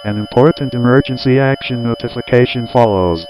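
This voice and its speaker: A man's voice: medium pitch, brisk, darkish tone, faint music behind, close to an everyday voice, poor audio. A man playing a neutral, formal broadcast station announcer giving scripted standby notices impersonally.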